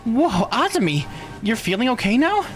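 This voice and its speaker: Male streamer acting earnest young visual novel sleuth, raised pitch, exclamatory, swooping delivery, game music behind. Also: big pitch swings, normal speed. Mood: eager surprise.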